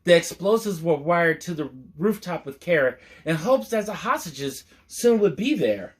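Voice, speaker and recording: A man's voice: a little high, sing-song, a little slow. A man reading a rhyming story aloud as a storyteller, expressively, with folksy, playful asides to his listeners.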